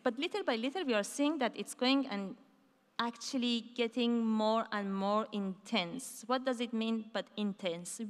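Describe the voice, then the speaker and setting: A woman's voice: mid-range voice, smooth. Woman doing a conference keynote, chatty with lots of uhs, big stage with long echo. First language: not Hebrew. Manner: conversational, with many fillers and restarts. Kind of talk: conference talk. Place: large dark conference stage. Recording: hall reverb from a large stage.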